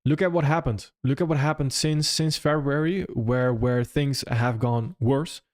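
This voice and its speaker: A man's voice: medium pitch, measured pace, a little mellow. A young man, not a native English speaker, hosting a live stream, reading viewer questions aloud and answering in a loose, conversational way with many restarts. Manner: loose and conversational, frequent restarts and "if that makes sense". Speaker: trading stream host.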